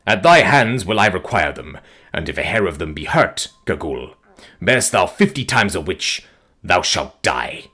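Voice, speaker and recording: A man's voice: deep voice, sing-song, normal speed, bright, forceful tone, slightly rough, voice pushed down, exaggerated voice. A man voicing a proud warrior king who speaks sternly and threateningly in old-fashioned words, for an audiobook. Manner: stern, threatening, archaic speech. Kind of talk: audiobook character voice.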